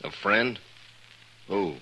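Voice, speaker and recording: Sharp, blustering western villain. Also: male voice, on the low side, drawn-out words, full character voice. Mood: rattled. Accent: American. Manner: sneering, defensive bluster. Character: blustering, shifty, guilty saloon villain. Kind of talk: old-time western radio acting. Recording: noisy old radio recording.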